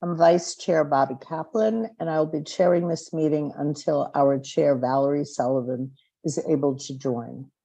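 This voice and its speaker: A woman serving as vice chair, formally opening and running a public commission meeting in a measured, slightly halting way. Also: low voice, vocal fry, mellow.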